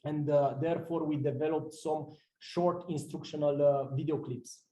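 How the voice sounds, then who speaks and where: A man, a project manager, presenting his project with halting speech and many fillers. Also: a little high, steady tone, warm tone, reverberant, rough recording. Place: seminar room.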